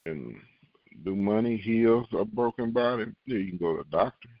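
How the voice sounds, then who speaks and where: A man preaching a sermon, reading scripture and acting out worried believers in a raised voice, repeating key lines slowly for emphasis. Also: fairly low pitch, no echo, dull audio. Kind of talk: podcast sermon.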